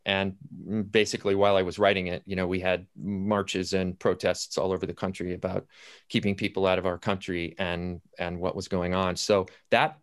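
A man's voice: low voice, level tone, moderate pace. A middle-aged or older man, a playwright, recounting his writing process anecdotally on a video-call panel, often quoting his own thoughts. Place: indoors at home, on a video call. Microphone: computer video-call audio.

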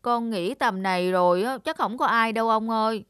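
A woman voicing a dutiful, anxious young male servant, deferential and earnest, in an animated folk tale. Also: on the high side, normal speed, smooth, dry recording, studio quality, natural voice. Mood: worried.

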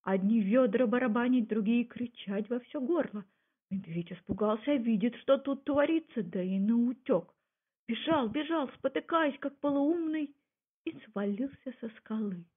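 A woman with a husky voice giving a scripted storytelling narration. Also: a little slow. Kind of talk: scripted folk tale and documentary narration. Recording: muffled, low-fidelity phone-like sound.

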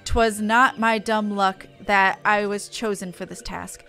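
A woman's voice: mid-range voice, steady tone, unhurried, pushed and bright, faint music behind. A woman voicing a pompous, feeble, bumbling male scholar from a fantasy game, with a wordy, theatrical, dithering delivery. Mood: self-important.

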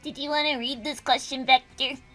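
A woman voicing a playful, excitable little cartoon boy bee, chatting in a childlike, expressive way. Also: high voice, moderate pace. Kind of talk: casual gaming chat in character. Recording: music behind the voice.

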